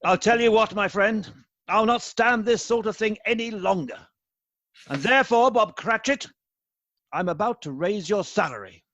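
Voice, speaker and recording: A man playing a reformed elderly miser in a radio play, an effusive and excitable old gentleman with a husky voice. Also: sits high, normal speed, no room sound, highs cut off. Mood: mock stern. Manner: effusive, chuckling, stammering with excitement.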